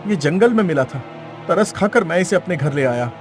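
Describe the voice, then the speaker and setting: A man's voice: fairly high pitch, expressive, normal speed, over music, natural voice. A man voicing a plain-spoken, easily exasperated villager in a cartoon story, explaining himself earnestly.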